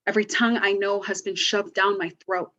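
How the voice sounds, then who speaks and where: A teenage girl reciting her own spoken word poem slowly and deliberately. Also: mid-range voice, fairly even intonation, sharp tone. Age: teens. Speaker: youth poet. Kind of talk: spoken word poetry reading.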